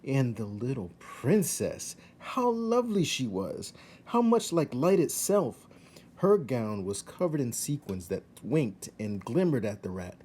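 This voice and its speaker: A man narrating a children's story aloud expressively, with measured delivery, dramatic emphasis and hushed asides. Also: medium pitch, very animated, husky. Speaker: teacher reading a children's novel aloud.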